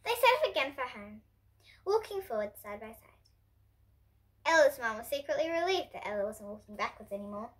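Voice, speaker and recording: A girl of about ten to twelve reading a picture book aloud to young children in an expressive, presentational way. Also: a little high, a little fast. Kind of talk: storybook read-aloud for children. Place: indoors at home, in front of bookshelves.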